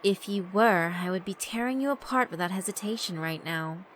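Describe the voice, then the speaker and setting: A woman's voice: medium pitch, lively intonation, moderate pace, a bit bright, a little hiss or hum, natural voice. A woman voicing a fierce, brooding female yokai in a horror visual novel, speaking bluntly. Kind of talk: visual novel character narration.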